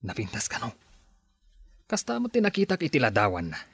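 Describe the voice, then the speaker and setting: A man's voice: medium pitch, wildly swooping pitch, a little fast, fairly bright tone, slightly rough, dry recording, exaggerated voice. A man playing a friend giving advice. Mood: advising. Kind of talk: Ilocano radio drama acting.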